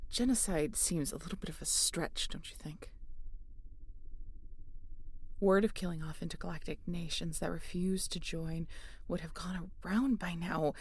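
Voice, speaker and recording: Low, gravelly, sardonic starship captain, acted by a woman. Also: fairly even intonation, moderate pace, pauses often, no room sound. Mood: skeptical, guarded. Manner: sarcastic, dry, often dropping to a mutter. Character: sardonic, guarded female starship captain and biologist. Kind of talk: scripted sci-fi audio roleplay.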